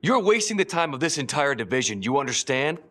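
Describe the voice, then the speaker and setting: Territorial, short-fused policeman for a game; medium pitch, very lively and combative. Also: fairly quick. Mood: angry, indignant. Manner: aggressive, indignant. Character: hot-tempered, profane, territorial male police detective. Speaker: video game actor. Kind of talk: crime drama acting.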